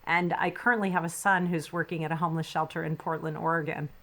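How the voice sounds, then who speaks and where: A woman's voice: low voice, steady tone, fast talker, a bit bright. Affirming middle-aged woman podcast host. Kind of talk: podcast interview.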